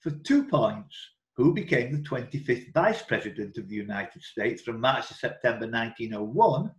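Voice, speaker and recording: A man's voice: mid-range voice, sing-song, normal speed. A man hosting an online quiz, reading out questions in a chatty, informal way and breaking off into asides. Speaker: quiz host and question reader. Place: indoor room, online video call. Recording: roomy echo.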